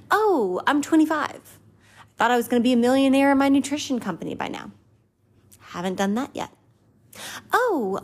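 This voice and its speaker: A woman in her twenties hosting a podcast, talking earnestly and confessionally about gratitude and faith. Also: on the high side. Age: 20s. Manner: earnest and confessional, voices her own doubts in a mocking lilt. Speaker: podcast host. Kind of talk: personal faith reflection monologue.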